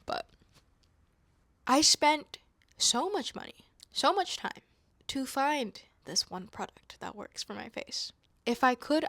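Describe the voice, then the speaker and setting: A young woman from Indonesia chatting calmly to camera, soft-spoken with whispery asides and self-mocking laughs. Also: fairly high pitch, creaky, dry recording. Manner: playful, laughing at herself. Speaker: vlogger. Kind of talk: calm casual chat to camera. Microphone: wireless clip-on mic.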